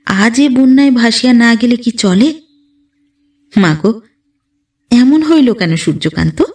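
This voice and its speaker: A woman reading a literary story aloud in an expressive, dramatic, emotive manner. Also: on the high side, slow pace, far from the mic. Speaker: audio story narrator.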